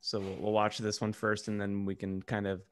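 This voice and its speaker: A man's voice: lowish voice, completely flat delivery, normal speed, clear, resonant tone. A man co-hosting a podcast, chatting casually in an informal, rambling way. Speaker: podcast co-host. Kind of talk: casual chat.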